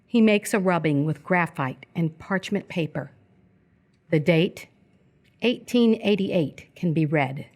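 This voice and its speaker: A woman reading scripted narration for live radio theatre in a measured, descriptive way. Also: lowish voice, animated, darkish tone.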